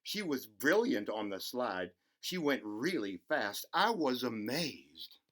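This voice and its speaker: A man's voice: mid-range voice, big pitch swings, slow, a bit bright, exaggerated voice. Man acting a wistful, lonely little storybook boy. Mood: shy wonder. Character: lonely, timid young boy narrating a picture book.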